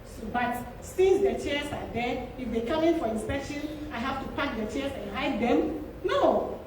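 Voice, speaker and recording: A woman's voice: high-pitched, animated, unhurried, darkish tone. A woman with a Ghanaian accent, a small business owner making an earnest, pleading public comment at a town forum, repeating words for emphasis, in a church hall. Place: large echoey church hall. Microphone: handheld mic held too far from the mouth. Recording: echoey hall, distant mic, room noise.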